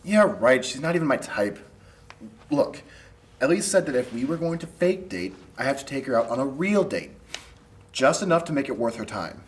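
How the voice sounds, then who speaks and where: Male actor as wry, slangy college guy, lively mid-range pitch, casual scripted banter, slightly noisy room. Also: moderate pace, close to an everyday voice. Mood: defensive. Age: young adult. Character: laid-back male college student, wry and teasing. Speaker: actor at a script table read. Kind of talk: casual dialogue read aloud from a script.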